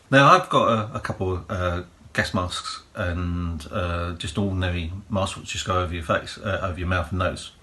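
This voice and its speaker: A man with a croaky voice, hosting a prepping channel and giving rambling practical advice full of fillers and "you know"s. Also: low-pitched, a bit bright. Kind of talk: casual advice monologue.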